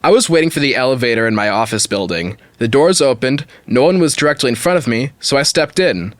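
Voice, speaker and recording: Male podcast host, lively swooping delivery, close dry sound, playful and casual. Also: mid-range voice, brisk, no room sound. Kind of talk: casual comedy podcast banter, ad read and story reading.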